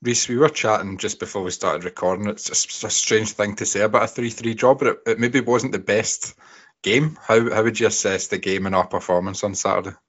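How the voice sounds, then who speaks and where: A man's voice: lowish voice, fairly even intonation, fairly quick, fairly bright tone, husky. Man hosting a football podcast. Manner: friendly, asks panel questions. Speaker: podcast host. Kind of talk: casual football podcast chat. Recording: dull lo-fi podcast audio.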